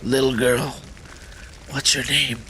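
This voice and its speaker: A man playing a grave, weary fugitive swordsman in a visual novel, speaking solemnly and deliberately. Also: mid-range voice, little pitch movement, sharp tone, pitched above the performer's own voice, low-quality recording. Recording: noticeable background noise.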